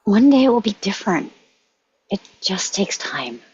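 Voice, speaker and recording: A woman playing a tender, worried young woman who soothes her lover, gentle and reassuring, in a script table read. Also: medium pitch, sing-song, normal speed, breathy edge. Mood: tender, soothing. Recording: dull, lo-fi audio.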